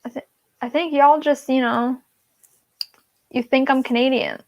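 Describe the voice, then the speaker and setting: A woman's voice: sits high, steady tone, unhurried, gravelly, a little mellow, muffled recording. A woman hosting a casual writing livestream over a video call, talking in a chatty, playful and sometimes defensive way. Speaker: host.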